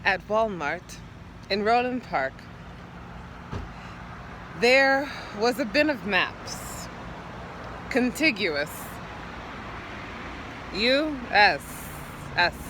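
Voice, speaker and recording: A woman poet performing an improvised spoken-word monologue outdoors, theatrically, in short halting phrases with repeated words. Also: mid-range voice, very animated, noisy background. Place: outdoors, standing or walking.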